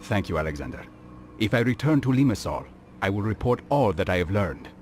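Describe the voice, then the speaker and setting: A man voicing a grave, learned assassin in a video game, speaking in a measured, formal way. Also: fairly low pitch, very animated, faint music behind. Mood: reflective. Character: grave, learned young assassin, stern and reflective.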